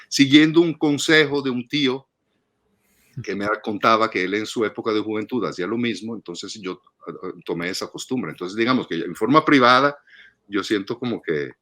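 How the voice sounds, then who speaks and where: A man in his fifties with a fairly low voice speaks in a reflective, conversational interview style. He is halting, often repeating a word or two while he gathers his thought. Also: fairly bright tone. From Padua region, northern Italy. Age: 50s. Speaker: guest, film critic and teacher.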